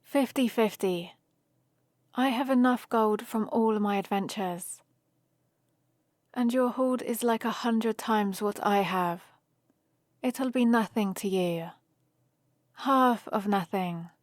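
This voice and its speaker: Woman acting a slow-paced, playful young adventurer heroine. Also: medium pitch, no room sound, clean studio sound, lightly acted. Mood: playful, teasing. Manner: chatty, rambling, gently teasing. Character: young human heroine adventurer, playful, teasing, fond of dragon friend. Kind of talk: ASMR roleplay, one-sided conversation.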